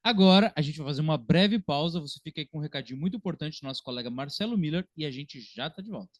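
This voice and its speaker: A man, co-hosting a casual film discussion in an animated, chatty way. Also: a little high, fast. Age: early 30s. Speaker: podcast co-host and film critic.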